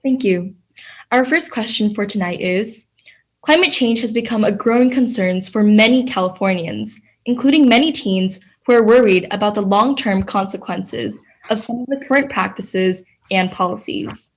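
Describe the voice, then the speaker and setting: A woman's voice: mid-range voice, moderate pace. A young woman moderates a candidate forum in a mid-range voice. She reads prepared questions and ground rules formally and politely hands over to each speaker.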